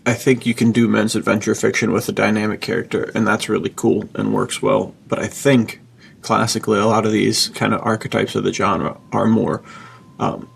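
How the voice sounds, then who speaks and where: A man chatting informally as a podcast co-host, thinking aloud in a husky, gravelly voice. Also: fairly low pitch, little pitch movement, normal speed, slight background noise. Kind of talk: casual chat.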